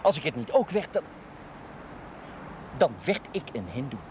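An actor playing a playful, ardent young suitor in a television drama, teasing and eagerly insistent. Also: male voice, a little high, big pitch swings, normal speed, darkish tone, slight background noise. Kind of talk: 1970s Dutch television drama acting.

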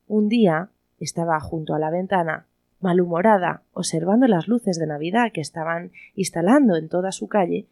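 A woman's voice: on the low side, darkish tone, no echo, clean studio sound. A woman reading scripted narration for a radio play in the manner of a story.

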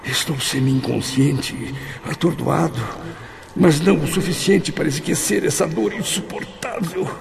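A man's voice: fairly high pitch, unhurried, gravelly, crowd noise. An actor with a Brazilian accent playing a tormented, remorseful spirit who tells his own story with dramatic anguish in a radio drama. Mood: anguished, dazed. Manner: anguished, dramatic first-person recounting.

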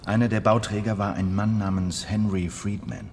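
Man acting a patient criminal insider, deep, animated delivery, assured, radio drama, faint background noise. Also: moderate pace, lightly acted. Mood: assured explaining. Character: confident male heist planner, patient insider criminal.

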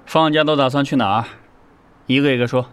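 A firm, businesslike male manager acted by a man in an audio drama. He gives instructions matter-of-factly in a medium-pitched voice. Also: steady tone, fairly quick, slight background noise, natural voice.

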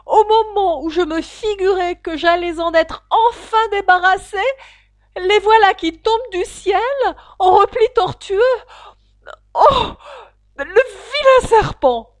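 A woman voicing an anxious, shrill female pigeon guarding her eggs, shrieking and scornful. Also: lively intonation, unhurried. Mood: screaming.

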